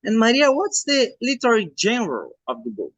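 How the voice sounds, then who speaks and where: A man interviewing an author, speaking slowly and earnestly and addressing the viewers directly. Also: very high voice, huge pitch swings, a bit bright, dry recording. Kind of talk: interview questions.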